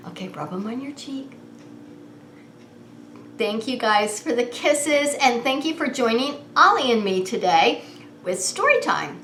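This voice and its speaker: A woman hosting story time as a preschool teacher, reading in a sing-song, animated way and coaxing small children with questions. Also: fairly high pitch, unhurried, pauses often. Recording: roomy echo with some background noise.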